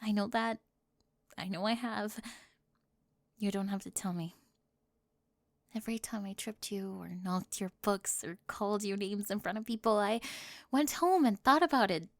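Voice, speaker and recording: A woman voicing a flustered, defensive teenage cheerleader bully with a secret crush in an ASMR roleplay, halting and nervous, often dropping toward a whisper. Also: medium pitch, no room sound, studio quality, lightly acted. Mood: vulnerable, regretful. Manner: halting, self-interrupting, nervous laughs, drops into whispers.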